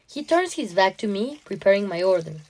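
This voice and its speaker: A woman's voice: medium pitch, sing-song, brisk, a little mellow, poor audio. Female podcast host and story reader. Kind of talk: casual chat and story narration.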